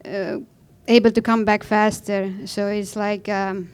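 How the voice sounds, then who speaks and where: Clear-voiced woman with an Estonian accent. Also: mid-range voice, little pitch movement, unhurried. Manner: blunt, informal, non-native phrasing. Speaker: panel guest, professional snowboarder. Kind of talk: conversational personal account on a panel.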